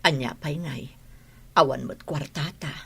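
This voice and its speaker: A woman's voice: low-pitched, measured pace, gravelly, a bit bright, breathy edge, full character voice. A woman with an Ilocano Filipino accent playing a worried, regretful mother in a radio drama, weary and plaintive. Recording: background noise behind the voice.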